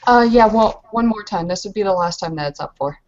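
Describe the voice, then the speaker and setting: A woman's voice: medium pitch, expressive, fairly quick, a little mellow. Casual woman gamer on lo-fi audio. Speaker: player in a tabletop roleplay. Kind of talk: casual game chat.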